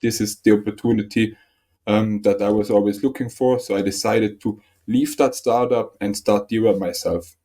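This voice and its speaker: Young founder with a low, dark voice. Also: male voice, steady tone, normal speed. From northern Italy. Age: 20s. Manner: earnest, methodical, frequent ums. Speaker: startup founder guest. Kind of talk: podcast interview answers.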